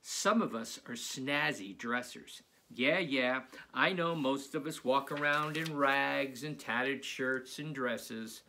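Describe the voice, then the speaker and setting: A man's voice: mid-range voice, slow pace, few pauses, sharp tone, slight background noise. A man voicing a friendly, chatty second-grade vegetarian zombie boy in a confiding storybook narration.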